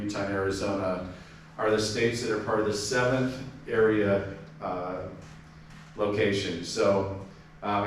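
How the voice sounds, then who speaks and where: A man giving an informal amateur radio club presentation, chatty, with lots of fillers and restarts. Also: lowish voice. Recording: far-off mic in a very echoey room with faint noise.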